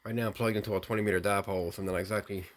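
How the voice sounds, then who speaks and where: A man giving an unscripted hands-on product demonstration, rambling technically and thinking out loud as he hosts a hobby video. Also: on the low side, steady tone, rapid-fire. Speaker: radio hobbyist video host.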